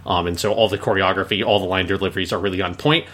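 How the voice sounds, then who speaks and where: A man with a slightly low, bright and forward voice hosts a casual review chat. He rambles from thought to thought, peppering his sentences with "like" as he works out his opinion. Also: steady tone, racing. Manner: rambling, frequent "like". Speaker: podcast host and reviewer.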